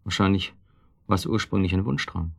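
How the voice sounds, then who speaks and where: An actor playing a guilt-worn, brooding husband in a German radio drama, speaking in a halting, bitterly ironic way with a husky, gravelly voice. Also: male voice, deep voice, steady tone. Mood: weary, confessional.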